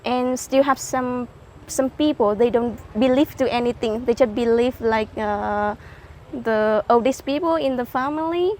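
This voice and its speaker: High-voiced young woman. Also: a little slow, a little mellow, slight background noise. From Vietnam. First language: Hmong. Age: young adult. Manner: simple learner English, frequent affirming yeses. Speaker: interview guest. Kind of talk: casual interview chat.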